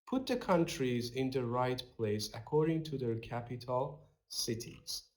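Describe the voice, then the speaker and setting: A young man teaches an English lesson over a webcam, taking his time over every word. His pitch sits in a middle range, and he stops to explain simple vocabulary before reading the workbook dialogues aloud in a small room. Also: expressive, unhurried, low-quality recording. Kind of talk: recorded online lesson walking through a workbook.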